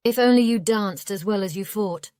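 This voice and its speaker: Woman voicing a defiant little sister, young fighter, crisp clean tone, fantasy audiobook, close dry sound. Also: medium pitch, moderate pace, dark tone, no echo, close to an everyday voice. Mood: defiant, bantering. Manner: defiant, challenging. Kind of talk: audiobook character acting.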